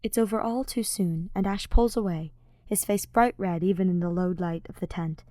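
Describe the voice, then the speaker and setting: A woman's voice: fairly low pitch, fairly even intonation, moderate pace, dark tone, no room sound, studio quality. A woman reading fiction aloud as a scripted audiobook narrator. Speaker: podfic narrator.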